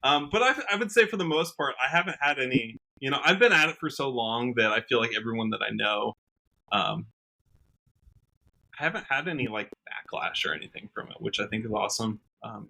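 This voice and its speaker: A man with an American accent and a bright, forward voice. He talks casually as a podcast guest, earnestly circling his point, stopping and rewording as he goes. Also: medium pitch, vocal fry, low-quality recording. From Washington state, USA. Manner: halting, earnest, trails off and rephrases often. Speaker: podcast guest, country singer. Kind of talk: casual interview chat.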